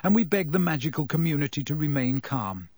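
A man's voice: a little high, expressive, a little fast, a little mellow, dry recording. A man voicing an irritable, pompous government minister in an audiobook, blustering in an official manner. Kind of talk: audiobook character voice.

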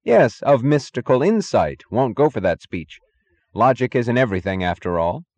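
A man's voice: low voice, brisk, close to an everyday voice. Audiobook role: timid male adviser, tentative yet pompous, groping for words, played by a male narrator. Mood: timidly firm.

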